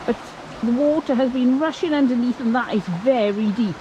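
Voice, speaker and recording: A woman's voice: fairly high pitch, normal speed, dull audio, background noise. A woman giving casual, curious commentary while searching a stream bank, asking viewers what they think. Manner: curious, musing, asks viewers questions. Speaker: vlog co-host. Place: outdoors by a woodland stream.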